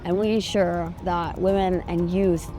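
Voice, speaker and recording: A woman's voice: fairly low pitch, steady tone, unhurried, over music. A woman from Somalia giving earnest answers as an interview guest about her work in advocacy. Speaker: interview guest, peace organisation executive.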